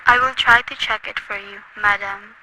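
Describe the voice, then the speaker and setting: A woman's voice: medium pitch, steady tone, moderate pace, crowd noise, close to an everyday voice, poor audio. A woman voicing a formal, detached telephone operator. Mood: polite. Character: patient telephone operator and police clerk.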